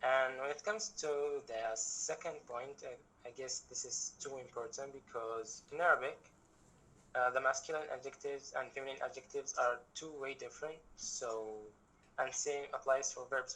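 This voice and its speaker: A man's voice: medium pitch, moderate pace, fairly bright tone, low-quality recording. A young man from Egypt giving an online language lesson over a video call, explaining patiently with lots of examples and restarts. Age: young adult. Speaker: guest language teacher.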